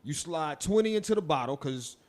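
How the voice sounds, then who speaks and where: A man's voice: fairly high pitch, expressive, a little fast. A man co-hosting a podcast, telling a long story in a casual, laughing manner with lots of swearing. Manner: laid-back, laughing, swearing, acts out quoted voices. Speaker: podcast co-host.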